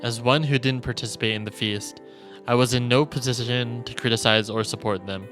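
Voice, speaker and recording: A man streaming a visual novel, reading its text aloud as dramatic, measured narration. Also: mid-range voice, fairly even intonation, fairly bright tone. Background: game music.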